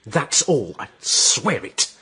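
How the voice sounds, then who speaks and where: A man playing an anxious, earnest priest protesting to his brother in a period radio drama, with a husky voice. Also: mid-range voice, sing-song, takes it slowly, pushed and bright, exaggerated voice, low-quality recording.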